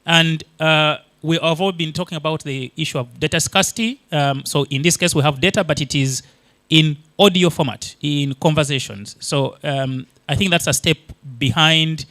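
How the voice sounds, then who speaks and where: A man's voice: fairly high pitch, normal speed, a bit bright, dry recording. A man from Uganda whose first language is Luganda, giving a conference talk and explaining things step by step with many fillers and restarts. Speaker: conference presenter.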